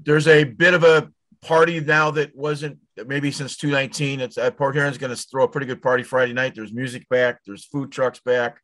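A man in his sixties hosts an interview over a video call, asking long, rambling questions padded with fillers. His voice sits at an everyday middle pitch. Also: level tone, moderate pace, fairly bright tone. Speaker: show host.

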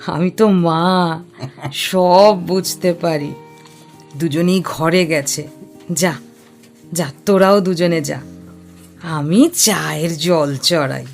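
A woman's voice: fairly low pitch, steady tone, extremely slow, gravelly, pauses often, a little mellow, faint music behind, full character voice. An actress playing a motherly older aunt in an audio drama, confiding and knowing. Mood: knowing, fond.

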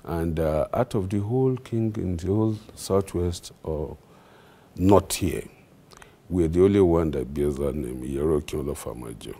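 A Nigerian man with a low, gravelly voice and a dark, mellow tone. He is a traditional ruler answering interview questions, pausing often and filling gaps with hesitations. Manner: halting, many fillers.

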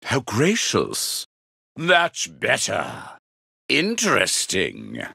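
A man's voice: lowish voice, wildly swooping pitch, drawn-out words, gravelly, pushed and bright, husky, dry recording, clean studio sound, full character voice. A man voicing a grandiose, greedy, scheming villain for a video game, imperious and theatrically polite. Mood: smug. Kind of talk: video game character voice clips.

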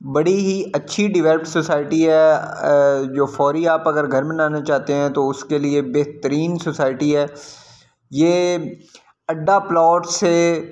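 A man's voice: on the high side, steady tone, measured pace, a little mellow. A man with a Pakistani accent giving informal, explanatory commentary on a property site visit as a real estate video host.

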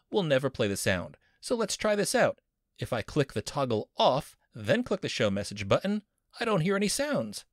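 A man's voice: medium pitch, no echo, clean studio sound. A man teaching a screen-recorded coding lesson as a university instructor, upbeat and playful with jokey wordplay.

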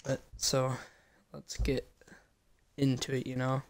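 A man commentating casually as he streams a game, hoarse and losing his voice from being sick. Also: mid-range voice, completely flat delivery, slow. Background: game music.

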